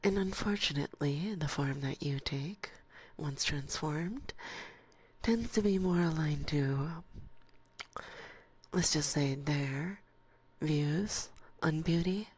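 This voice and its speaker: A woman voicing an assertive, teasing forest witch who is a stern but fond mentor, in soft, whispery binaural ASMR roleplay with a deliberate, condescending manner, close to the microphone. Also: low-pitched, full character voice. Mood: sly, teasing. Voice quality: soft, breathy, often slipping into whisper.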